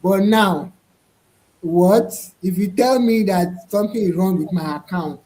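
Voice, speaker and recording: A man's voice: sits high, measured pace, dark tone, poor audio. A man in a casual roundtable discussion over a video call, who restarts often and keeps asking "right?". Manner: hesitant restarts, frequent "right?" and "to be honest". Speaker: panelist.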